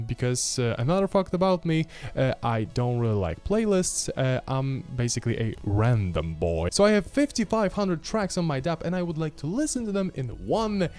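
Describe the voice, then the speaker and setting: A man's voice: on the high side, a little mellow. A man, giving a casual, blunt and slangy product review into a handheld microphone. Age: 20s. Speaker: gadget reviewer. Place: small home room studio, indoors. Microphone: handheld microphone held close.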